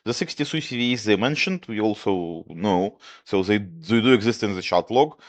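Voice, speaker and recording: A man's voice: fairly low pitch, normal speed. A man with a Russian accent, a native Russian speaker, explaining his research as a guest on a tech podcast. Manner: explains plainly in a steady way, with some filler "like". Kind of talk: casual tech podcast chat.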